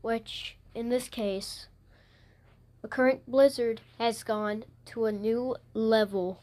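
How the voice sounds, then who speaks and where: A woman making formal, halting and repetitive emergency announcements in an amateur alert broadcast. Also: fairly high pitch, fairly even intonation, darkish tone.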